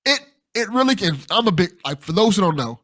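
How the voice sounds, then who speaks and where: A man hosts a casual interview show in an excitable, conversational way. His voice sits a bit high for a man and moves around with lots of lively intonation. He often repeats himself as he makes a point. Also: brisk. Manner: excitable, repeats phrases, sprinkles "like". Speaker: podcast host interviewing a guest. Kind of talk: casual enthusiastic chat.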